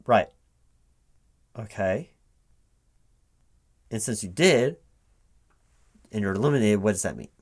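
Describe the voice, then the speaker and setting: A man in a spoken, unwhispered ASMR roleplay, talking casually and haltingly to an unheard partner as if puzzling things out aloud. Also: lowish voice, lively intonation, husky. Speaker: recruiting manager interviewing a gamer in a one-sided roleplay. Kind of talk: spoken ASMR roleplay, casual one-sided conversation, not whispered.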